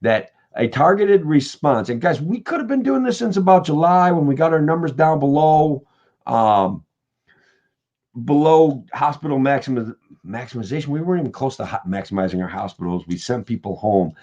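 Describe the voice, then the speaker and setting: A man with a middling pitch and a voice worn hoarse, rambling casually to his viewers and peppering every thought with "guys" and "okay." He talks like a candidate chatting on a late-night stream. The audio is dull and lo-fi. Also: animated, normal speed, darkish tone. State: voice getting hoarse. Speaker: political candidate livestreaming. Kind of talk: casual livestream talk to viewers.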